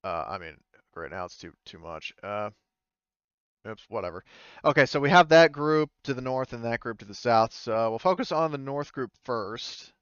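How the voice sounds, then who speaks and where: A man's voice: mid-range voice, expressive, moderate pace, a bit bright, clean tone, no echo, dull audio. A man running a tabletop game as game master, talking informally and swearing casually. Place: close, dry mic in an online game session.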